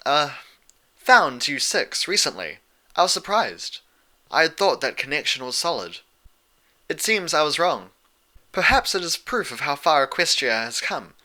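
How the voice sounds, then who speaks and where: A man voicing a regal, patient female pony princess, explaining things in a measured way in a story. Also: fairly high pitch, sharp tone, pitched above the performer's own voice, heavily acted.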